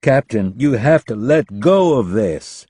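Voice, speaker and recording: A man's voice: medium pitch, lively intonation, a little fast, a little mellow, no echo, clean studio sound, voice pushed up, natural voice. A man doing a brash, scornful male operative. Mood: curt. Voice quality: blunt, scornful, cursing.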